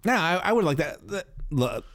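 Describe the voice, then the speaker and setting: Animated man with swooping pitch, podcast co-host. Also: normal speed. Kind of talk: casual opinionated chat.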